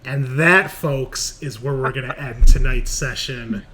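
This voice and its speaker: A man acts as game master for a tabletop horror game, at a middle pitch. He switches between casual rules calls and dramatic scene description, swearing freely as he goes. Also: fairly even intonation, a little slow, background noise. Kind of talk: running a tabletop roleplaying game, casual narration.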